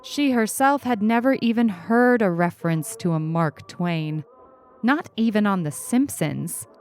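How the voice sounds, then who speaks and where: A woman's voice: medium pitch, animated, unhurried, a little mellow. A woman narrating a scripted short story as its reader. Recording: music under the reading.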